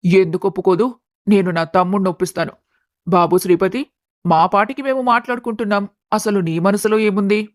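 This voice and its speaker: A woman's voice: medium pitch, a little fast, no echo, studio quality, natural voice. A woman voicing a scheming, greedy middle-aged aunt who talks insistently in a family story. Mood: eager. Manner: insistent, calculating.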